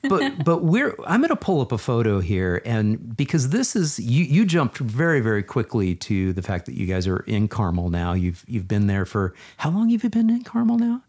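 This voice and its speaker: A man's voice: medium pitch, wildly swooping pitch, moderate pace, hardly any pauses, darkish tone, husky. A man hosting a podcast, chatting in an effusive, enthusiastic way and repeating words for emphasis in a casual interview. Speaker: podcast host. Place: video call recording.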